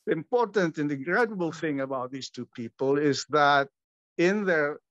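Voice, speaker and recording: An older man speaking at a memorial, measured and earnest, pausing often, talking from a small room at home over a video call. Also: on the high side, expressive, a bit bright, no room sound. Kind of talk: slow reflective remembrance. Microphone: video call webcam. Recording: dull lo-fi video call audio.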